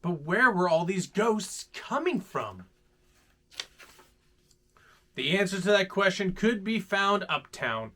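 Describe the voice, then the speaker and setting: A man hosting a children's story time, reading aloud in a playful, dramatic, animated way. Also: high-pitched, measured pace, slight background noise. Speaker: host reading children's stories.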